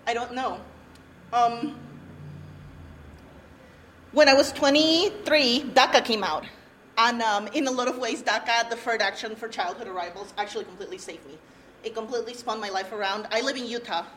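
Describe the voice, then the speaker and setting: A young woman from Peru presents a workshop, telling her own story in a candid, earnest way and letting out light nervous laughs. Her voice sits a little high. She speaks to an audience in a room without a handheld mic, with a faint hum behind her. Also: moderate pace, pauses often, a bit bright, low-quality recording. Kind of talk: personal testimony at a workshop. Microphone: no handheld mic, room recorder.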